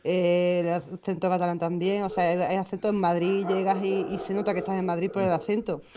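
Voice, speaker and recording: A woman's voice: on the low side, little pitch movement, a little fast, lo-fi sound, crowd noise. A woman co-hosting a casual livestream chat, reading out viewer comments with small hesitations.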